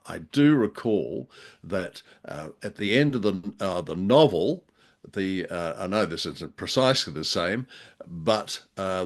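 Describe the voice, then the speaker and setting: A man co-hosting a podcast in casual chat, jovial and rambling, with hesitant phrasing and playful mock-dramatic asides. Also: deep voice. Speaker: podcast co-host.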